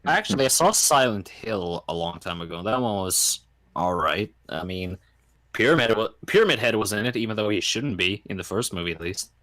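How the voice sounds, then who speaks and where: A man with a somewhat low voice talks as a podcast guest. His delivery is informal and conversational, like a chat among friends. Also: sing-song, a little fast, fairly bright tone, poor audio. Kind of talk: casual chat.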